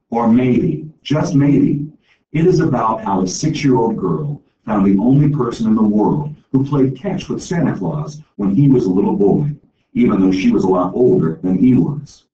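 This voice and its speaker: Male storybook reader, mellow tone, recorded at a distance in a roomy space. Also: medium pitch. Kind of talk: scripted story read-aloud. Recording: echoey distant room, dull, faint noise.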